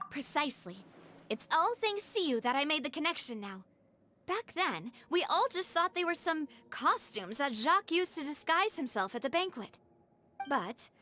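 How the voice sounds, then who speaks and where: A woman's voice: sits high, pushed and bright, exaggerated voice. A woman playing a determined, grieving young noblewoman in a video game, speaking in an earnest, articulate and emotive way. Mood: thoughtful, hopeful. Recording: background music under dialogue.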